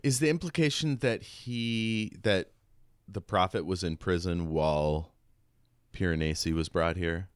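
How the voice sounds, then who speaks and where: A man's voice: deep voice, unhurried, smooth, dry recording, clean studio sound. A man co-hosting a podcast, chatting casually about a book. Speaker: podcast co-host. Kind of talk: casual book discussion chat.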